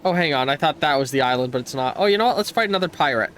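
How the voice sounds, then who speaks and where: A man's voice: on the high side, animated, racing, fairly bright tone, slight background noise. Stuffy-nosed man reading game text. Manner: stumbling over words while reading, bursts of playful trash talk. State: stuffy nose. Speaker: let's play streamer. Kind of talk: casual gameplay commentary, reading game text aloud. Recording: faint game music behind the voice.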